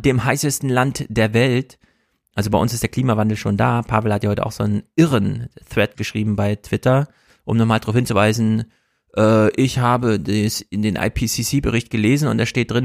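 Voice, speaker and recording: A man's voice: fairly low pitch, gravelly, darkish tone, no room sound, clean studio sound. A man hosting a livestream, giving casual, opinionated political commentary and calling things grotesque again and again. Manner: opinionated, repeats "grotesk", restless. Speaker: livestream host.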